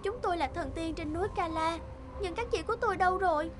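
A woman's voice: extremely high pitch, fast, background noise, voice pushed up. A woman voicing a sweet, anxious young fairy maiden in a cartoon, plaintive and exclaiming. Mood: puzzled. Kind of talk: cartoon fairy-tale acting.

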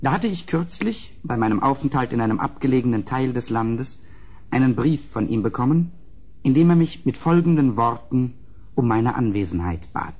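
A man playing a grave, haunted gentleman who narrates a gothic audio drama in measured, solemn, literary phrases. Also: medium pitch, animated, husky. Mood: brooding, uneasy.

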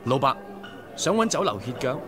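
A man's voice: medium pitch, big pitch swings, moderate pace, over music, lightly acted. A man with a Hong Kong Cantonese accent playing a respectful young member of a rebel society in a radio drama. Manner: respectful, eager, formal greetings. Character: courteous young male sworn brother of a rebel society. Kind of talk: 1990s radio drama acting.

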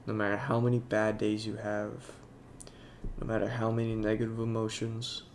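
Congested young guy talking slow and unsure, gravelly voice, bit of hiss. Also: lowish voice, completely flat delivery. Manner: halting, soft-spoken, many fillers. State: congested, sniffling. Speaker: YouTuber. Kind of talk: casual talk over gameplay.

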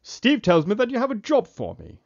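A man playing a suave, decisive British gentleman detective in a parody of old radio drama. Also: extremely high pitch, wildly swooping pitch, brisk, exaggerated voice. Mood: hearty. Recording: muffled vintage radio, music behind.

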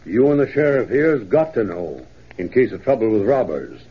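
An actor playing an authoritative small-town banker with a rural Western American accent in an old radio drama. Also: male voice, fairly low pitch, very animated, normal speed, breathy edge, exaggerated voice. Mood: businesslike. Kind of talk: 1930s radio drama acting. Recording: muffled, lo-fi 1930s radio recording.

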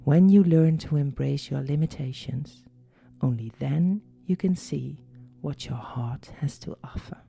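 A woman with a Dutch accent, a coach and podcast host, speaking in a calm, careful, reflective solo monologue. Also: low-pitched, unhurried, creaky. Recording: soft music underneath.

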